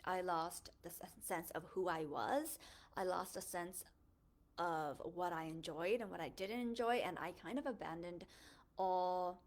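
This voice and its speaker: A woman's voice: lowish voice, a little slow. A young woman from Thailand, raised in the United States, sharing her story in an earnest, thoughtful monologue with frequent fillers and self-corrections. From born in a refugee camp in Thailand, Hmong, raised in the United States. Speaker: host of a faith podcast. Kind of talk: reflective personal monologue.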